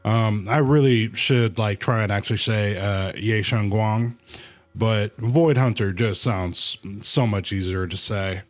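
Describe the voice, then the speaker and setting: A man's voice: lowish voice, fairly even intonation, a little slow. A man livestreaming a game with casual, playful reaction commentary, slangy and emphatically repeating his phrases.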